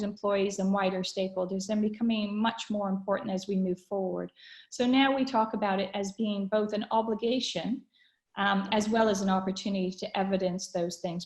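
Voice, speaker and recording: A woman from Canada hosting an online webinar, upbeat, with frequent fillers and pauses. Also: mid-range voice, roomy sound. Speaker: webinar host. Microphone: video call.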